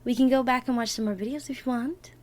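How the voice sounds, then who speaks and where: Chatty, higher-voiced affectionate girlfriend, acted by a woman. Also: fairly even intonation, fast talker, a little mellow, natural voice. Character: affectionate, excitable girlfriend teaching baking at home. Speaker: audio roleplay performer. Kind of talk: ASMR girlfriend roleplay.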